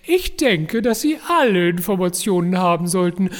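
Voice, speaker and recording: A man's voice: high-pitched, animated, normal speed. A man playing a fawning, scheming office rival in a comic audio drama, pompous and obsequious. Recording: long hall reverb.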